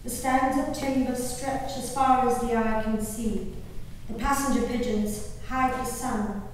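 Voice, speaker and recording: Actress doing genteel colonial mother in a stage monologue, anxious n theatrical, really slow, far from mic in big echoey hall. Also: a little high, fairly even intonation, a little hiss or hum, close to an everyday voice. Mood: grieving. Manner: theatrical, measured, with exclamations and pauses. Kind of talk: dramatic stage monologue reading of a diary. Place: reverberant performance hall.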